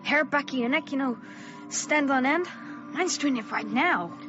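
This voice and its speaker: A woman playing a bold, defiant thirteen-year-old small-town boy in a radio drama, brash and excitable. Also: high-pitched, unhurried. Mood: excited. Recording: dull lo-fi audio with music.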